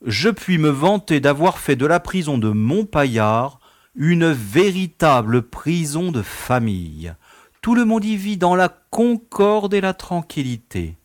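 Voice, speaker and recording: A man acts out a genial prison director in a radio comedy. His voice swings animatedly over a fairly high pitch, at an unhurried pace. He is courteous, paternal and pleased with his own importance. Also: big pitch swings, dry recording, clean studio sound. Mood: genial, self-satisfied. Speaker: actor in an audio drama. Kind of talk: French radio comedy acting.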